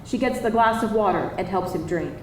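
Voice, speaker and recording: A woman's voice: medium pitch, fairly quick. A woman reading screenplay stage directions as scripted narration at a live table read. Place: live event room. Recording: roomy, distant, faintly noisy live reading.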